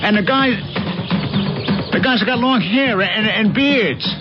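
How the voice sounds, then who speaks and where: Harried working husband in old radio drama, kinda high voice, stammers, dull lo-fi sound. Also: male voice, unhurried. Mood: amazed, excited. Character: put-upon, restless working husband who loves sailing. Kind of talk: 1980s radio drama acting. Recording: dull lo-fi old radio broadcast, music bed.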